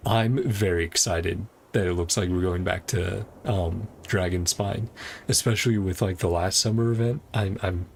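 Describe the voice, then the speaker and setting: A man's voice: sits low, fairly even intonation, normal speed, creaky, a little hiss or hum. A man chatting casually on a livestream while he plays a game, sounding easygoing and enthusiastic. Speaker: live streamer. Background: game music.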